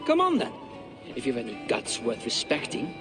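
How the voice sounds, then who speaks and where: An actor playing a mocking, defiant seventeen-year-old prince in a video game. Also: male voice, medium pitch, huge pitch swings, moderate pace, over music. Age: teens.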